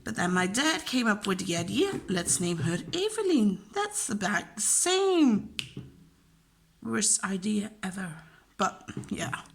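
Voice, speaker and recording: A woman's voice: medium pitch, big pitch swings, takes it slowly, vocal fry, slight background noise. A young woman from Belgium with a Flemish accent, Dutch her first language, chatting casually and rambling with fillers and laughs as she answers questions about herself. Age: young adult. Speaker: vlogger and teacher.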